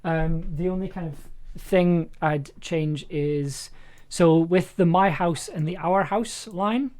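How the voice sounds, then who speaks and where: A man's voice: fairly high pitch, takes it slowly, a little mellow. A man directing an actor in casual, hesitant chat, searching for words and repeating phrases as he explains. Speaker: host and audio drama director.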